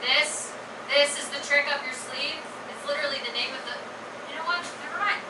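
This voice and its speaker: A woman playing a bossy, sarcastic, impatient figure running a high school dance in a staged musical comedy, theatrical and snappy. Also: brisk, close to an everyday voice. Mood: scornful, sarcastic. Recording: roomy, very noisy distant live stage recording.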